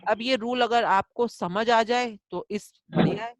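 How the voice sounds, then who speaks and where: A woman's voice: a little high, a little slow, no echo. A middle-aged woman teaching a gratitude course over a video call, mixing Hindi and English and acting out everyday examples as she answers a student. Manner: mixes Hindi and English, answers with examples and lively acted quotes. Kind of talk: live online class, coaching a student.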